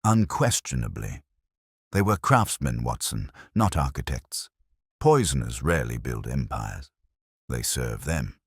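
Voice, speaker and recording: A man reads as a Victorian detective in an audiobook, cool and commanding. The voice is extremely low and a little rough, making precise, theatrical pronouncements in a dry, close recording. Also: big pitch swings, dry recording, exaggerated voice. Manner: cold, deliberate, theatrical pronouncements.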